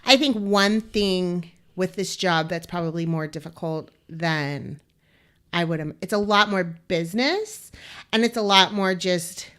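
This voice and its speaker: Woman guest, loud and bright, gushy talker, lots of "like". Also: on the low side, a little slow. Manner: enthusiastic, gushing, filler-heavy "like". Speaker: wedding photographer, guest. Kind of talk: casual interview chat.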